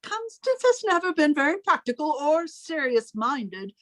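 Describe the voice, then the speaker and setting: A woman's voice: high-pitched, big pitch swings, moderate pace, a bit bright, dry recording. A woman playing a stern, controlling older sister in an old-time radio melodrama, speaking formally with a clipped, menacing manner. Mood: cold, controlling. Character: stern, controlling, lonely older sister guarding her family's money.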